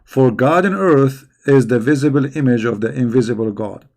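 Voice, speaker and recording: A man with a gravelly, creaky voice at an ordinary middle pitch hosts a live debate stream. He answers viewers in a combative, mocking way and often repeats words while he gropes for the next phrase. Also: normal speed, a little mellow. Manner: combative and mocking toward questioners. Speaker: religious debate host.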